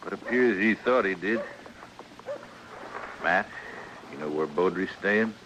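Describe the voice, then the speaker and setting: Frontier husband: low, plainspoken, proud and resigned; crackly radio western. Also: male voice, slow, muffled recording. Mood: stubborn. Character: stubborn, resigned frontier husband bound by honor. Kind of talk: 1950s radio western acting. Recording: noisy, crackly old radio recording.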